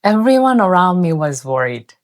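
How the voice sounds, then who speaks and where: Korean-accented female host, 30s, lowish pitch, earnest scripted video-essay read. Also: big pitch swings, moderate pace. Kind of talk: scripted narration.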